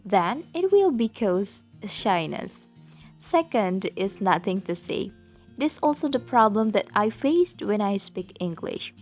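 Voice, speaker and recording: A woman's voice: medium pitch, sing-song, measured pace, darkish tone, faint music behind. Young Indonesian woman giving a prepared talk. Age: young adult. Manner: careful, rehearsed, learner's English. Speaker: student presenter. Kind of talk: prepared presentation.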